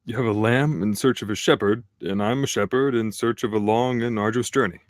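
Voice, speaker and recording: A man's voice: on the low side, fairly even intonation, brisk, no room sound, studio quality, lightly acted. A man reading the part of an awkward, earnest shepherd in a screenplay table read. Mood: eager, earnest. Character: awkward, eager male shepherd.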